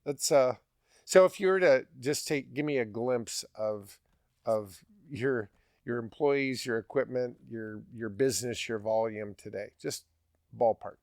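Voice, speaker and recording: A man's voice: medium pitch, a little slow, a little mellow, no echo, studio quality. A middle-aged man hosting a podcast, encouraging his guest and summing up his points. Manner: affirming, frequent backchannel murmurs. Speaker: podcast host. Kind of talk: interviewer, encouraging.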